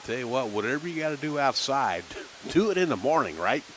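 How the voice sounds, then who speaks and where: A man calling a minor league baseball game on local radio, chatting casually between pitches. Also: brisk, a little hiss or hum. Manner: relaxed folksy chatter between pitches, rising excitement on big plays. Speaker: play-by-play announcer.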